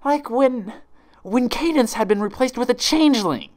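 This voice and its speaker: A man's voice: extremely high pitch, expressive, moderate pace, pitched above the performer's own voice. A man voicing a distraught young female unicorn who stammers and swings between pleading and fury in a story reading. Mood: furious. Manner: stammering, desperate.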